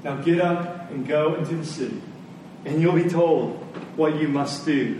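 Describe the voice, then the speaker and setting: Echoey, high-voiced man preaching a sermon. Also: darkish tone. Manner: emphatic, with deliberate repetition and rhetorical questions. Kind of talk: sermon to a live congregation. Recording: very echoey room, distant mic, faint noise.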